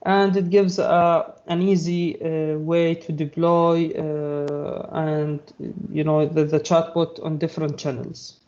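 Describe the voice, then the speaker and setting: A fairly high-voiced man whose first language is Arabic walks through a technical topic in a halting, filler-heavy way. His tone is mellow and soft. The sound is dull and lo-fi. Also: little pitch movement. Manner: halting, many fillers and restarts. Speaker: presenter. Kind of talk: technical talk.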